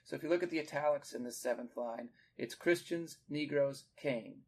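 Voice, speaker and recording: A man's voice: on the high side, moderate pace, darkish tone. A man hosting a literary discussion, explaining like a teacher in an articulate way with emphasis on key words. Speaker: podcast host and literature teacher.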